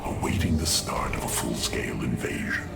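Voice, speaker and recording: An actor playing a haughty alien warlord in a video game, gravelly, contemptuous and theatrical in a deliberate way. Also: male voice, very deep voice, animated, exaggerated voice. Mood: cold menace. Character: scornful, haughty alien warlord seeking to usurp rule. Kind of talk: video game cinematic acting. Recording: loud music under the voice.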